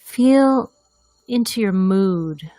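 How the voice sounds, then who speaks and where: A woman leading a guided meditation in a gentle, deliberate manner, leaving long pauses between phrases. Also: medium pitch, expressive, no room sound, faint music behind, low-quality recording.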